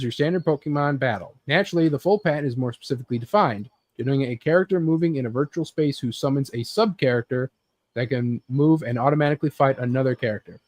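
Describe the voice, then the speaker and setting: A man with a mid-pitched voice leads a loose geek-culture chat in a dry, close recording. He rambles, swears freely and slips into acting out small imagined scenes. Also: darkish tone, dry recording. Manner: rambling, acts out imagined scenes with sound effects. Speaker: host leading a group chat about games and films.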